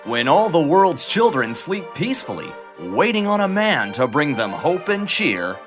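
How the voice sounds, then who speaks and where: Movie-trailer announcer, male actor, portentous scripted read, animated delivery over music. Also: a little high, moderate pace.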